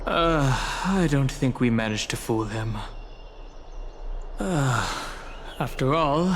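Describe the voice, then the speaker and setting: Man playing a slow-spoken, cautious game traveler. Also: mid-range voice, a little hiss or hum, natural voice. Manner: measured, hesitant, thoughtful. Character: polite, cautious male traveler posing as a soldier. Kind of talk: video game character dialogue. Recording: music behind the voice.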